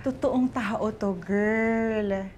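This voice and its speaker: An actress playing an exasperated, nagging book editor in a romantic comedy, insistent and chiding with a Filipino accent. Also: female voice, mid-range voice, steady tone, drawn-out words, a little mellow, slight background noise. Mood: teasing, persuasive. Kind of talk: romantic comedy film acting.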